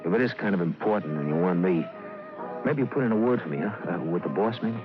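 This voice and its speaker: An actor playing an insecure middle-aged gangster lackey, hesitant and eager to please, in 1950s radio drama style. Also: male voice, sits low, lively intonation, quick pace. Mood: nervous, hesitant. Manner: hesitant, stammering. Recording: dull old radio recording with music.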